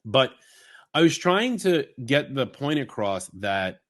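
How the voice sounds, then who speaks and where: A man from Long Island, co-hosting a podcast in rambling, animated casual chat. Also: mid-range voice, slow pace. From Long Island, New York. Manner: rambling, animated storytelling with fillers and asides. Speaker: podcast co-host.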